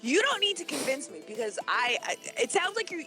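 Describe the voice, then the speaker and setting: A woman's voice: sits high, sing-song, fairly quick, pushed and bright. A woman in casual conversation as a co-host, playful and teasing in the way she talks. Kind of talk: casual chat. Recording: music playing behind the voice. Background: music.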